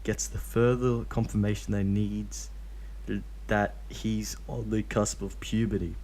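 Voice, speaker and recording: A man reading fan fiction aloud in a steady, plain way, breaking off now and then for dry joking asides. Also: fairly low pitch, a little slow, slight background noise.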